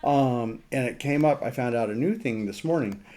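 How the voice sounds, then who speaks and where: A man giving a casual screen walkthrough as a radio hobbyist, thinking aloud in a halting way. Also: mid-range voice, mellow. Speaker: amateur radio operator presenting a map demo.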